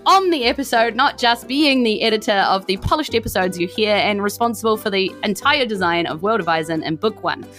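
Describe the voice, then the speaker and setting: A woman, animated and playful as game master and host of a tabletop podcast. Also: mid-range voice, fairly quick, few pauses. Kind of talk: narrating a tabletop game and chatty podcast outro. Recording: music behind the voice.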